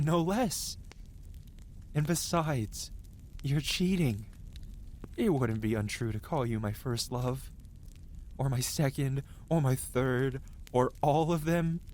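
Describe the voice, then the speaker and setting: A man's voice: a little high, a little mellow, a little hiss or hum. A man voicing an ancient male dragon, proud and scolding yet devoted, with solemn, dramatic phrasing in a fantasy ASMR roleplay. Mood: flustered, confessing. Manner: solemn, archaic, dramatic phrasing with flustered outbursts.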